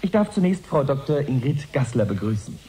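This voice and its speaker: An actor playing an earnest live radio reporter in a radio play, formal, explanatory and expectant. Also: male voice, medium pitch, sing-song, fairly quick, dark tone, a little hiss or hum, lightly acted, poor audio. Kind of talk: German radio play acting as a live broadcast.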